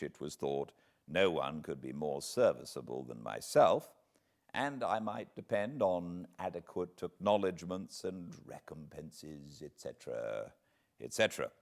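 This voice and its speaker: A British man reading a classic book aloud as an audiobook narrator, with measured, theatrical phrasing. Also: sits low, darkish tone. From Britain. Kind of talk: expressive literary audiobook reading.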